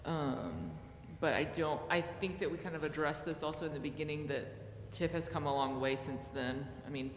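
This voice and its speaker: A woman's voice: low-pitched, moderate pace, few pauses. A woman on city staff answering questions in a matter-of-fact way, with frequent ums. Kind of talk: answering questions at a public meeting. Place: city council chamber. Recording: echoey chamber, long reverb, faint hiss.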